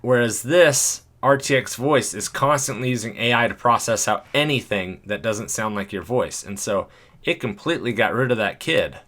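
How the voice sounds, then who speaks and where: A man with a deep voice, talking casually and thinking aloud as he walks through an editing tutorial, chuckling now and then. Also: normal speed, fairly bright tone. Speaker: video creator.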